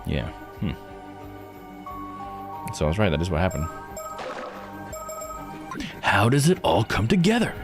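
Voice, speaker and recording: A man's voice: low voice, wildly swooping pitch, measured pace, long pauses. A man giving casual commentary as a game streamer, rambling as he thinks aloud. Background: music.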